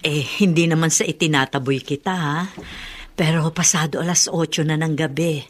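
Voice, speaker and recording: Lowish-voiced, admonishing mother. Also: fairly even intonation, moderate pace. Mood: gently firm. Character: caring, admonishing older mother. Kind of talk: Filipino radio drama acting.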